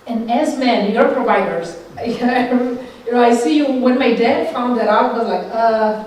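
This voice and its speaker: A woman's voice: on the high side, measured pace, a little mellow. A middle-aged woman from Northern Italy with an Italian accent, a religious sister speaking informally and conversationally to a group. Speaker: religious sister giving a talk. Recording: very echoey room, distant mic, faint noise.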